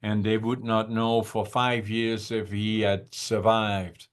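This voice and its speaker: A man in his eighties from the Netherlands, a podcast guest who talks over a video call and recalls his childhood in slow, reflective stories with many pauses. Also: lowish voice, level tone, clean tone. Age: 80s. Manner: unhurried, reflective, with frequent "uh" pauses. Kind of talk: conversational storytelling.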